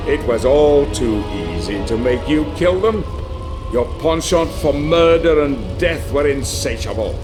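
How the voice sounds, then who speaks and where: A treacherous sorcerer lord from a video game, gloating in a slow, creaky voice. He is pompous and theatrical, savoring his power as he taunts. Dramatic music plays behind him. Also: medium pitch. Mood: taunting, sinister.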